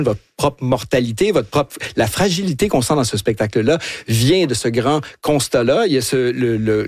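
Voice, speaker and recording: Halting Quebec French male critic, dry sound. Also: mid-range voice, darkish tone, no echo. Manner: halting, repeats words and phrases. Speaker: critic on a panel show. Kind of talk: unscripted review and debate.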